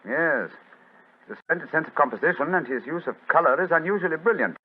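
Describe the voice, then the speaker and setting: An actor playing an incisive English gentleman detective with a British accent and a crisp, authoritative manner, in 1940s radio style. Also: on the high side, animated, a little fast, lightly acted. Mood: observant, musing. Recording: muffled old-time radio recording.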